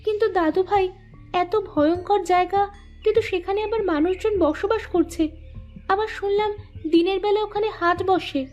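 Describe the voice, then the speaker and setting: A woman's voice: very high-pitched, fairly even intonation, moderate pace, a little mellow, lo-fi sound, soft background music. A woman playing a curious, easily frightened young heroine in a horror cartoon. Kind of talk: Bengali horror cartoon acting.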